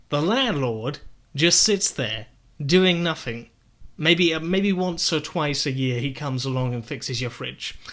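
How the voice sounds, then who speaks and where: A man's voice: fairly high pitch, fairly bright tone. A young man giving sarcastic, profane political commentary, firing off rhetorical questions as he rebuts clips. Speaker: political video essayist.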